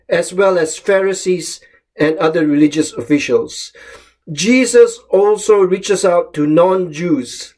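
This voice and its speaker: A middle-aged man from Malaysia, a pastor, giving a short devotional sermon in a calm, measured way. He is recorded close up on a webcam in a small room at home. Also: a little high. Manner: calm, measured reading of scripture with emphatic openings. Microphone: webcam or laptop camera close up.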